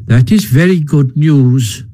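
A man's voice: high voice, big pitch swings, unhurried, darkish tone, husky, pitched above the performer's own voice, exaggerated voice. A man voicing a grave, well-meaning mole in a storybook, earnest and reassuring.

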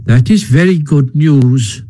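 A man's voice: sits high, very animated, a little slow, warm tone, breathy edge, pitched above the performer's own voice, full character voice. A man voicing a grave, earnest mole in a storybook, a helpful friend with a reassuring manner.

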